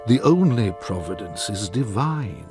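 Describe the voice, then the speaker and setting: An actor playing a stern, pious clergyman brother who speaks gravely and reprovingly. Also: on the low side, very animated. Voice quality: grave, measured. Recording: music playing underneath.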